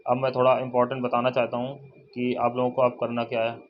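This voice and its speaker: A young man giving a casual informational update to viewers, halting and often repeating himself as he restates figures. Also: mid-range voice, completely flat delivery. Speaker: exam update vlogger. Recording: dull lo-fi, faint noise, birdsong.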